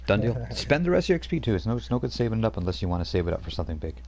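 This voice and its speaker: A man's voice: on the low side, very animated, rapid-fire, darkish tone, a little hiss or hum. A man acting as game master, casually explaining tabletop rules step by step.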